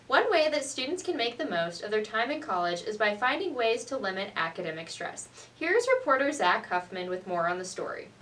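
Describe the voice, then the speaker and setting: A woman host reading scripted news in a measured way. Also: on the high side, fairly bright tone, far from the mic, a little hiss or hum.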